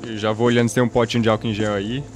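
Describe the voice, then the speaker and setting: Tabletop game role: gruff, curt partner played by a man, slightly deep, rapid speech, impatient. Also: level tone, faint music behind, natural voice. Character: gruff, impatient male partner shepherding a boy. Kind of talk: improvised tabletop roleplay.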